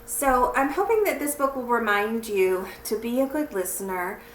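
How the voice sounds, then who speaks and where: A woman's voice: fairly high pitch, expressive, normal speed. A woman telling stories and talking to children in a simple, encouraging, teacherly way. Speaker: children's story reader and church teacher. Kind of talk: read-aloud storytelling and friendly talk to children. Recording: roomy, distant mic with faint noise.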